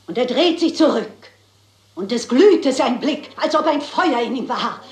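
A roomy sound surrounds an elderly spinster sleuth. She is genteel, unflappable and a touch theatrical, with a highish voice, in the manner of a crime radio play. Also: expressive, unhurried, darkish tone, soft background music. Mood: dramatic reciting. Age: older.

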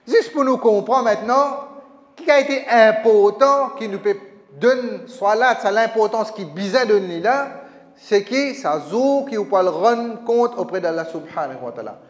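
High-voiced preacher man in echoey mosque. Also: expressive, moderate pace. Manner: emphatic, repetitive, gesturing preacher. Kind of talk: Friday sermon. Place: small mosque prayer hall, indoors. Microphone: stand mic. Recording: echoey hall with long reverb.

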